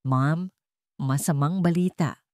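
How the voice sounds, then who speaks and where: A woman's voice: deep voice, slow pace, warm tone, dry recording. Grave investigator acted by a female narrator, Filipino accent, urgent reporting, audio drama, dry sound. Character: investigator urgently reporting bad news to superior.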